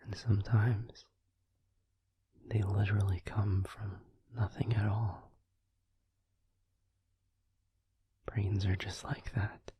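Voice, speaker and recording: A man playing a gentle vampire boyfriend in an intimate comfort roleplay, soothing and tender in a hushed, breathy whisper close to the microphone. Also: lowish voice, flat delivery, lo-fi sound, a little hiss or hum. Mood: reassuring. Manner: soothing, tender, slow and reassuring.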